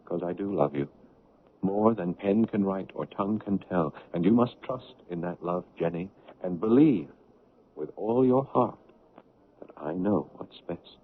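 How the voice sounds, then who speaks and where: Low, solemn controlling fiancé, muffled radio. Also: male voice, lively intonation, breathy edge, heavily acted. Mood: tender, insistent. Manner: measured, insistent, solemn. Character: earnest, controlling, self-righteous son and fiancé. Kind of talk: 1970s radio drama acting and confessional narration. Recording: muffled, phone-like old radio broadcast.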